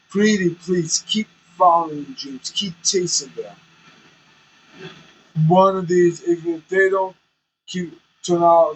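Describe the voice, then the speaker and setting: A man's voice: a little high, breathy edge, rough recording. A man vlogging candidly from the cab of his semi truck, rambling and self-conscious as he chats to viewers. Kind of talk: casual chat while driving.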